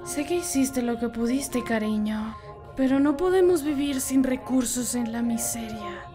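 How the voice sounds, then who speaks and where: A woman voicing an elegant, weary female cat boss in a Latin American cartoon fan dub, measured and exasperated. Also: fairly high pitch, lively intonation. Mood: weary, gentle. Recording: brass music behind the voice. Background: brass music.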